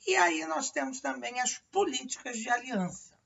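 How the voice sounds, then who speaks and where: Breathy Brazilian woman lecturing from afar. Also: high voice, lively intonation, moderate pace, fairly bright tone. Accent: Brazilian Portuguese. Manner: many tag questions and fillers, rhetorical questions. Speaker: high school history teacher. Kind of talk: classroom lecture. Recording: distant lo-fi classroom recording.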